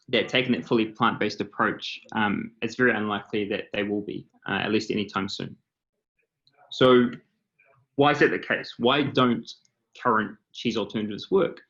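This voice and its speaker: A man's voice: lowish voice, a bit bright, highs cut off. A man with a New Zealand accent, a company founder presenting on a video call panel, explaining steadily with frequent ums and uhs. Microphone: computer mic on a video call.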